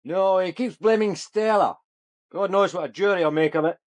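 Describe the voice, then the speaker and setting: A man's voice: high voice, moderate pace, lightly acted. An actor as a weary, musing male detective. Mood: wry. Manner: conversational, musing. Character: weary, reflective male police detective chatting off duty. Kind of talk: naturalistic radio drama acting.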